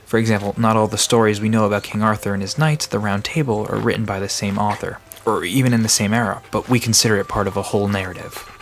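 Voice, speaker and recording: Male actor as a friendly mythology nerd, lowish voice, eager explainer, conversational audio drama, noticeable background ambience. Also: steady tone, fast talker, hardly any pauses, slight background noise, lightly acted. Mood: eager explaining. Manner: explanatory, eager to share lore, hesitant asides.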